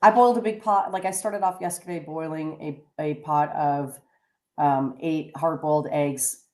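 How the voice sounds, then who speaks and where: Woman radio host, lowish voice, chatty, halting restarts, echoey room. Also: steady tone, a little mellow. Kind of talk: casual radio chat.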